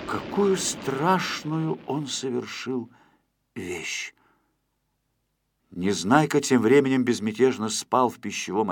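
A man narrating a children's story from a script as an expressive, dramatic storyteller. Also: mid-range voice, no echo, clean studio sound.

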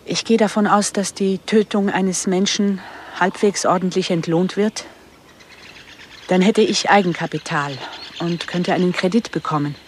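A woman's voice: on the low side, unhurried, lightly acted. Actress as cool, resolute mother, faint background noise. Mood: cool, determined. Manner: matter-of-fact, direct. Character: resolute, cool mother willing to kill for money. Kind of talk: German radio crime drama acting. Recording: faint ambient noise behind.

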